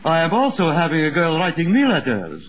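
Boastful sidekick, extremely animated, muffled vintage radio comedy sound. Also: male voice, fairly high pitch, brisk. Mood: indignant, boastful. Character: boastful, foreign-born comic sidekick with mangled grammar. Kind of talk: 1950s radio comedy acting. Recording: muffled, hissy old radio recording.

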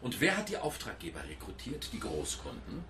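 An actor playing a mocking, domineering police inspector with a sinister edge in a radio drama, taunting and commanding. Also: male voice, medium pitch, wildly swooping pitch, fairly quick, sharp tone, a little hiss or hum. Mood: mocking, menacing.

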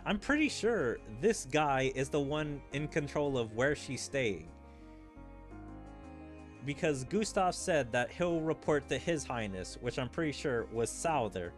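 Man, game streamer, casual commentary, rambling and puzzled, medium pitch, music behind. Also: big pitch swings, a little slow. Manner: puzzled, rambling, thinking aloud. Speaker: gaming streamer. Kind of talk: casual playthrough commentary, reading game dialogue aloud.

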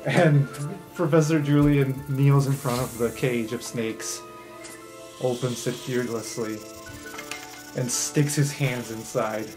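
A man serves as game master, narrating an improvised fantasy game. His voice sits at a middle pitch, with music playing underneath. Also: fairly even intonation, slow, rough recording.

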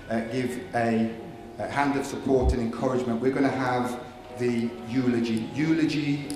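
Jovial pastor in a big echoey church hall. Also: male voice, mid-range voice. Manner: jovial storytelling, teasing the congregation. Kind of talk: funeral service address. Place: large church hall with congregation. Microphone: microphone on a stand at the pulpit. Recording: echoey hall, mic from a distance, music.

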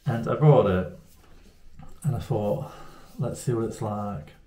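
A man's voice: on the low side, takes it slowly, dark tone. A man, chatting to camera at home in a rambling, self-mocking way. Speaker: vlogger. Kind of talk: casual talk to camera. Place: indoors at home, small room. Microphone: camera at arm's length. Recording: roomy echo.

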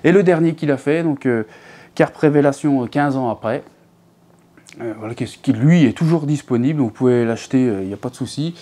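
Chatty man with a mellow voice. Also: medium pitch, a little fast. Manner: chatty, rambling, many fillers and restarts. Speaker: fishing channel host. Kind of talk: casual chat to viewers. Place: living room at home, seated in an armchair.

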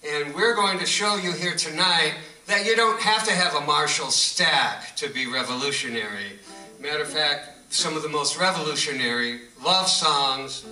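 A man who leads a music act, talking to listeners between acoustic songs with halting pauses, recorded live from a distance in a gallery. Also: fairly high pitch, bright, forceful tone. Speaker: singer-songwriter and bandleader. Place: art gallery, live performance. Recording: echoey live gallery performance from far off.

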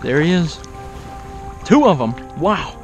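A young man talks to a kayak-mounted action camera out on open water, his voice slightly high and his delivery unhurried between bursts of breathless, awed exclamations. Music plays clearly underneath him. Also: very animated. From raised in Oklahoma, born in Kansas. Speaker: kayak fishing vlogger. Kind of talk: excited outdoor vlog commentary. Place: kayak on open sea, outdoors.

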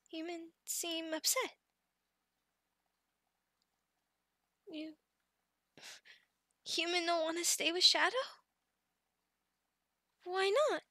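A woman voicing a young adult female goblin in an ASMR roleplay, childlike, sweet, devoted and timid, speaking in short halting phrases of broken third-person speech. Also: very high-pitched, steady tone, sharp tone, no echo, clean studio sound, full character voice. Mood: worried, timid.

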